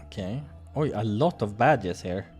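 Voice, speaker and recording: A man's voice: on the low side, expressive, a little slow, darkish tone, soft background music. A man hosting a visual novel playthrough, reading narration dramatically and commenting casually on the game. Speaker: gaming playthrough host.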